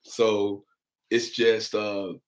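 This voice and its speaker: A young man hosts a relaxed livestream from home. He reads out viewer comments and answers each one in an upbeat, encouraging way. His voice sits slightly low. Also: extremely slow. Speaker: insurance broker and livestream host.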